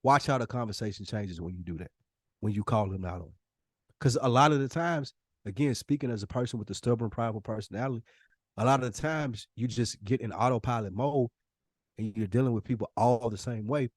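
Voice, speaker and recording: A man's voice: mid-range voice, a little fast, no room sound, studio quality. An American man co-hosting a podcast, giving conversational advice in an emphatic way and repeating phrases for weight. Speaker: podcast co-host.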